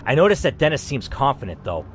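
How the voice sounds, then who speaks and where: A man hosting a dramatic story reading, who reacts out loud and swears as he weighs each choice. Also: mid-range voice, very animated, fast, faint music behind. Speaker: host reading an interactive story.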